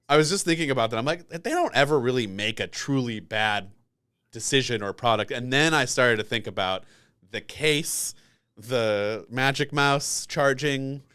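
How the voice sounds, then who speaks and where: A man's voice: medium pitch, a bit bright, smooth. Male podcast host, clean resonant voice, informal and rambling conversational delivery. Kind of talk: casual tech podcast chat.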